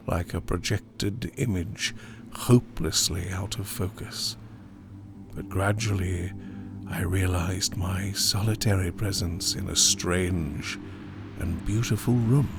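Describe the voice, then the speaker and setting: A man performing a haunted survivor in a dramatic gothic horror audiobook, grave and theatrical, with hushed near-whispered phrases. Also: low voice, gravelly, background noise, exaggerated voice. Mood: hushed wonder. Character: haunted male survivor of an opium overdose, recounting horror. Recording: studio narration over music.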